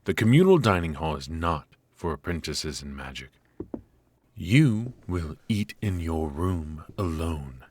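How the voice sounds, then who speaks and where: Man acting as a very deep, imperious royal mage. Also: expressive, darkish tone, a little hiss or hum. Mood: cold, formal. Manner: formal, imperious, measured. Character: stern, aloof royal mage, commanding male mentor. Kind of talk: scripted ASMR fantasy roleplay.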